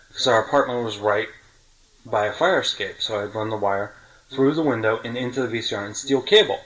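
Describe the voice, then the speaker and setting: A middle-aged man with a bright, forward voice talks like a podcast host swapping memories with a friend. His delivery is chatty and full of small detail, casual and conversational throughout. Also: medium pitch, low-quality recording.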